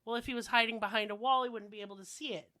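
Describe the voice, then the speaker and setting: A woman's voice: fairly high pitch, steady tone, rapid-fire, pushed and bright. A woman hosting a podcast while sick, telling stories in a casual, chatty, joking way with slang and swearing. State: sick, coughing.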